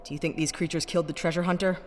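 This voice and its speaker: A man's voice: a little high, flat delivery, racing, natural voice. Man playing a sardonic space adventurer in echoing cave. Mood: dry, wary. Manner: dry, sarcastic, curt. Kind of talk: sci-fi audio drama acting. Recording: long cave reverb, faint noise and ambience.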